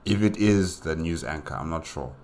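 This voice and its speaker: A man giving casual, unscripted reaction commentary, musing in a hesitant, halting way. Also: extremely low pitch, a little mellow. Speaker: reaction video host.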